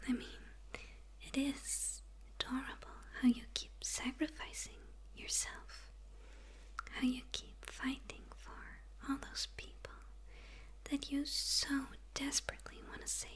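A woman's voice: high-pitched, level tone. Woman whispering as a gloating demon villainess. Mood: smug teasing. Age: young adult. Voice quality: breathy whisper.

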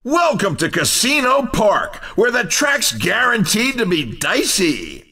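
Zany male race commentator for a cartoon racing game: showy, bright and forceful, slightly off-mic. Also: wildly swooping pitch, unhurried, vocal fry, slightly rough, far from the mic, heavily acted. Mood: excited, welcoming. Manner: showy, punning, booming announcer delivery. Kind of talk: excited arcade sports commentary.

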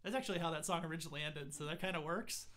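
A man in his thirties singing and chatting on a livestream from a small home studio, playing acoustic guitar into a condenser mic. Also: rapid-fire. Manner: sings high and loud, talks low and casually between songs. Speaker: singer of the band. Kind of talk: solo acoustic livestream performance and chat. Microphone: studio condenser mic, wearing headphones.

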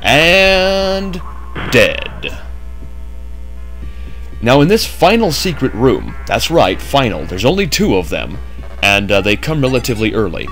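A man's voice: mid-range voice, big pitch swings, slow, long pauses, a bit bright, soft background music. A man narrating a game walkthrough in a chatty way with jokey asides, just woken up. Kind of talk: casual gameplay commentary.

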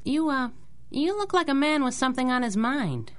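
A woman playing a brash, wisecracking young working woman in a 1930s-style radio drama, slangy and quick-witted. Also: high-pitched, sing-song. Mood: concerned.

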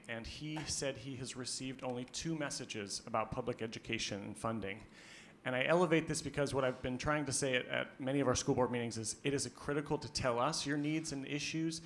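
A man's voice: medium pitch, fairly bright tone, slight background noise. A man in his thirties speaking earnestly and emphatically into a handheld mic at a school board meeting, with frequent ums. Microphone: handheld microphone held close.

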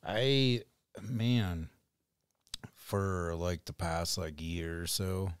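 Brief: male podcast host, lower voice, halting and swearing, dry room. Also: steady tone, smooth, no echo. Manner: loose, halting, swears a lot. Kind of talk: casual chat.

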